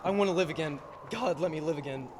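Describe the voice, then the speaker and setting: A man's voice: on the high side, fast talker, close to an everyday voice. Young man acting desperate radio-play husband, echoey hall. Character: desperate, excitable family man pleading for his life. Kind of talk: filmed radio play acting, high school production. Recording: echoey hall, faint noise.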